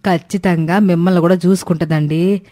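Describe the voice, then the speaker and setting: A woman's voice: on the low side, steady tone, a little fast, mellow, natural voice. A woman voicing a sly, sweet-talking elderly mother-in-law in a moral cartoon story. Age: older.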